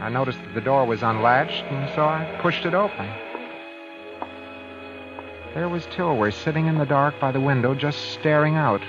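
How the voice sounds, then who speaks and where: A man's voice: mid-range voice, normal speed, long pauses, close to an everyday voice. Guy acting cold smug killer in an old radio thriller, clipped and impatient, muffled sound, music behind. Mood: smug, brooding. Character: cold, self-satisfied man who got away with murder. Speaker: actor narrating in first person as the lead. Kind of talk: 1940s-50s radio acting. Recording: dull vintage radio recording with background music.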